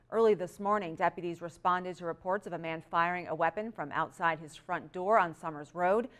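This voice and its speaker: A woman's voice: medium pitch, a bit bright. A female news anchor with an American accent delivers scripted stories in a mid-range voice. She reads as a presenter would in a local newscast.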